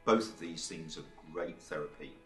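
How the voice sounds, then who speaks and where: Brief: man, 50s, very low voice, earnest, roomy recording. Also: normal speed, faint music behind. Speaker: employee sharing his mental health story. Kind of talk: scripted testimonial.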